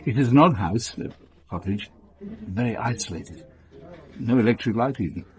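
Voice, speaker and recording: An actor playing a courteous, solitary gentleman in a British radio drama, formal and precise, with a gently instructive manner. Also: lowish voice, expressive, moderate pace, close to an everyday voice. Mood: gently inviting.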